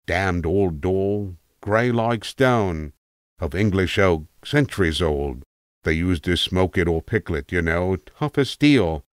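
Man playing a deep-voiced, rambling old tramp. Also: clean tone, dry recording, studio quality. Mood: grumbling, opinionated. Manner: digressive, emphatic, self-assured storytelling. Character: rambling, eccentric, opinionated old male tramp. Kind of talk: acted audiobook character monologue.

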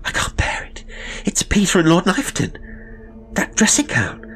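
A man's voice: huge pitch swings, normal speed, a bit bright, a little hiss or hum, heavily acted. A man voicing a frightened upper-class woman who shrieks and gasps in horror. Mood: horrified. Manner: shrill and breathless.

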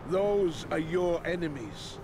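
An actor playing a stern old lord commander, giving a solemn, deliberate speech to his sworn brothers in a fantasy game. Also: on the high side, background noise. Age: older. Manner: grave, deliberate, oratorical. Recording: game audio with clear background ambience.

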